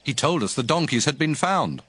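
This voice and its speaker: A man's voice: medium pitch, very animated, moderate pace, a bit bright, breathy edge, no echo. An actor playing a young man who reports back to his uncle in a casual, explanatory manner, in an audio Bible drama. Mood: casual, explaining.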